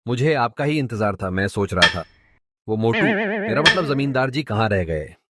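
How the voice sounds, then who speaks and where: A man's voice: medium pitch, very animated, moderate pace, very noisy, lightly acted. A man playing a humble, cheeky young village sculptor in a cartoon story, complaining in a comic, dramatic, colloquial way. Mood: cheeky anticipation. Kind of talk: Hindi cartoon story dubbing.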